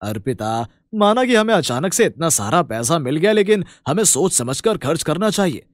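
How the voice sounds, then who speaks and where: A man playing a mild, sensible, apologetic husband in a cartoon story, measured and gentle. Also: fairly high pitch, big pitch swings, no room sound, clean studio sound. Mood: reasoning.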